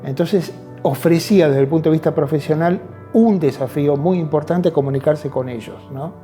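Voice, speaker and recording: A man with an Argentine accent and a very dark, soft-edged voice talks reflectively in an interview. He often checks in with short tag questions. Music plays behind him. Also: fairly high pitch, normal speed.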